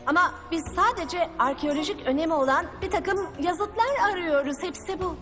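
A keen archaeologist mom, sounds sincere and brisk in a high voice. It is dubbed cartoon acting with clear music behind. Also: female voice. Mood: earnest.

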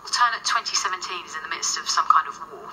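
A woman acting in a radio drama as a brisk, wry agent who investigates the paranormal, dry and businesslike. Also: sits low, bright, forceful tone, poor audio. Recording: faint background noise and ambience.

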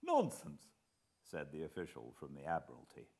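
A middle-aged man with a British accent, an audiobook narrator giving an expressive fireside reading. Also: low voice, slow. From Britain. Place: living room beside a fireplace.

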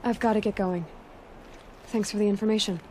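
A young woman playing a determined, self-reliant hunter in a video game, speaking in a measured, matter-of-fact way. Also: medium pitch, steady tone, a little mellow, slight background noise, lightly acted. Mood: businesslike. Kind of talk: dramatic video game acting. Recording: music behind the voice.

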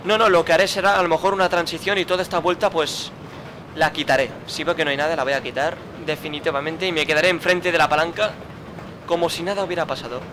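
A man's voice: on the high side, fairly even intonation, fast talker, noisy background. Grumbling man narrating a game. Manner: frustrated, swearing, muttering to himself. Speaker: gameplay commentator. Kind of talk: let's play commentary.

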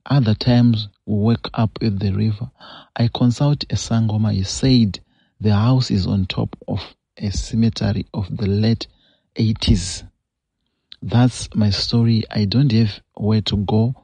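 A man's voice: fairly low pitch, fairly even intonation, unhurried, creaky, mellow, breathy edge, dry recording. A man with a Zimbabwean English accent hosting a show, reading a confession aloud and then giving earnest, scolding advice with repeated phrases. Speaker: host. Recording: dull lo-fi dry recording.

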